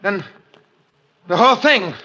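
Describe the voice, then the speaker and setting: An actor playing a cornered, defiant killer in agitated, incredulous 1940s radio style. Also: male voice, high-pitched, animated, a little slow, pushed and bright, full character voice. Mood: panicked disbelief. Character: cornered male criminal, jumpy and defiant killer. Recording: dull vintage 1940s radio recording.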